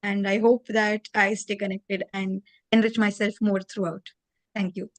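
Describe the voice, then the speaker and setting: A young woman giving earnest, halting remarks with many fillers as a panelist. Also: mid-range voice, flat delivery, no room sound. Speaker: alumni panelist. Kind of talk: prepared remarks on a video call.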